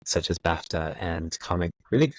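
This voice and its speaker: Deep-voiced American man, dry close sound. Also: lively intonation, normal speed, dry recording. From United States. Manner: thoughtful, frequent fillers and restarts. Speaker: guest. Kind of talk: conversational interview.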